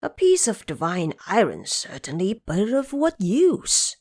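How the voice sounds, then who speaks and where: A woman's voice: mid-range voice, huge pitch swings, a little slow, a little mellow, breathy edge, full character voice. A woman voicing an old, timid male dragon king of the sea, nervous, pleading and hedging. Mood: grumbling.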